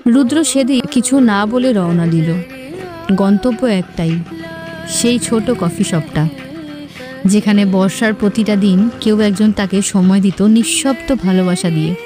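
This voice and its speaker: A woman's voice: mid-range voice, unhurried, dark tone. A woman narrator reading a scripted romantic story with sentimental, literary phrasing. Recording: music clearly behind the voice.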